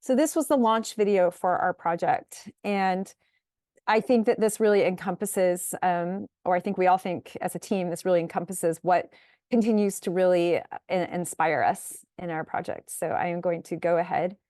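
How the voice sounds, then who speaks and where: A woman moderating an online seminar, introducing a video in a brisk, polite way. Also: fairly low pitch, fairly even intonation, a little mellow, no room sound, studio quality.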